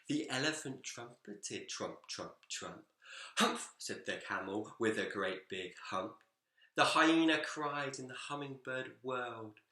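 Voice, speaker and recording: A man reading a children's picture book aloud in an expressive, animated storytelling manner. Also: lowish voice. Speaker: school story-time reader, teacher. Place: indoors, seated in a reading chair. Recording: echoey room.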